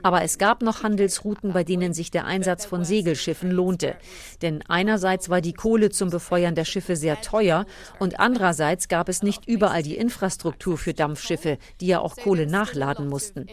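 A woman's voice: lowish voice, normal speed. A woman reading a scripted translation voice-over for a radio report.

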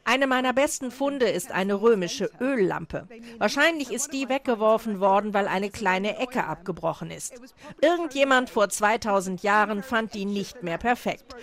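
A woman's voice: mid-range voice, animated, moderate pace. A woman reading scripted translations of interview quotes as a documentary voice-over.

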